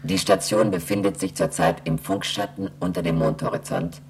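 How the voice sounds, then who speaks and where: A man playing a slow, emotionless space station computer in a radio play, reciting formal announcements in a deliberate monotone. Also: low-pitched, slight background noise, close to an everyday voice. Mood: impassive, formal.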